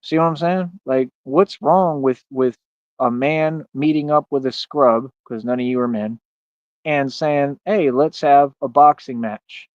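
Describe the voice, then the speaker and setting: A dry, close, slightly dull lo-fi recording of a man in his thirties with a mid-pitched voice. He streams a loose, casual monologue, boastful and defiant, leaning on slang as he goes. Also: unhurried, no echo. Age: 30s. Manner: slangy, peppered with "man" and "bro". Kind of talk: casual rambling livestream monologue.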